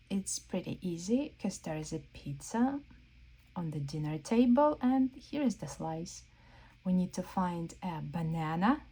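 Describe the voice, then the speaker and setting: Children's storyteller: woman, mellow voice, very animated pitch, guiding small listeners through a picture book. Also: husky, low-quality recording. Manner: exaggerated wonder, slow questions to young listeners. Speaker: children's read-aloud storyteller. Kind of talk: interactive read-aloud for young children.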